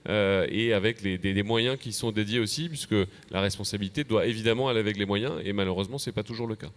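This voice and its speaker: Fast-talking, low-pitched Frenchman presenting policy. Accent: French from France. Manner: halting, repeats words. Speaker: association official presenting a policy manifesto. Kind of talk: semi-prepared conference panel presentation. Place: convention plenary session.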